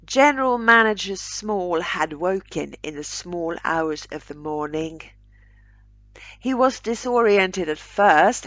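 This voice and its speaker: A woman narrating a short story aloud in a measured, deliberate way. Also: lowish voice, no echo. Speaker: author reading own short story aloud.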